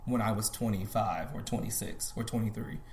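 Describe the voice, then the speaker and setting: A man's voice: fairly low pitch, steady tone, a little fast, darkish tone, slight background noise. A man in his thirties, a podcast guest, talking thoughtfully in a casual conversation and often restarting his sentences. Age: 30s. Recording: roomy echo.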